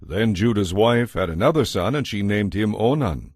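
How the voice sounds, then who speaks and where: A man's voice: on the low side, animated, moderate pace, a little mellow, no room sound, studio quality. A man giving a scripted narration as the narrator of a dramatized audio Bible.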